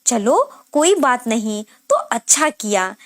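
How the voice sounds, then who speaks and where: A woman's voice: on the high side, sing-song, slow, dry recording, clean studio sound. A woman reading a scripted, emotional first-person story as narrator.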